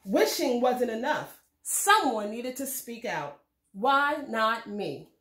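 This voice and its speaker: A woman reading a children's storybook aloud in a measured, expressive way. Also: fairly high pitch, roomy sound. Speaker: read-aloud host reading a children's book. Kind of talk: scripted storybook narration for children.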